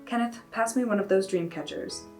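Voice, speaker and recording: A woman's voice: medium pitch, faint music behind, lightly acted. A woman doing a firm, protective mother. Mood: gentle. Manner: firm, measured, gently stern. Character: stern but gentle mother protecting her daughter.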